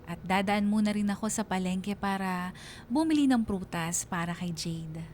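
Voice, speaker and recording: A woman's voice: medium pitch, normal speed, darkish tone, close to an everyday voice. A woman playing a reflective, bereaved young woman narrating her own love story in a radio drama. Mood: sad, reflective. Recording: music behind the voice.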